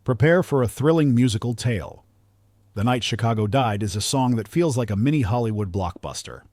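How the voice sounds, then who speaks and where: A man reading scripted countdown narration in a polished, measured storytelling manner, close on the microphone. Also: mid-range voice, animated, darkish tone, no echo.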